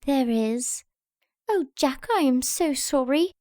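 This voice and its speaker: A woman playing a sweet, excitable young Victorian lady who speaks politely and effusively in an audio drama. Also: sits high, slow pace, dry recording, studio quality. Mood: flustered, apologetic. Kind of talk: audio drama letter narration and dialogue.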